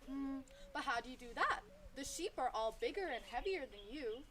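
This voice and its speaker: A woman voicing a big, kindly elephant mentor in a children's story, thoughtful and gently questioning. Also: sits high, lively intonation, moderate pace.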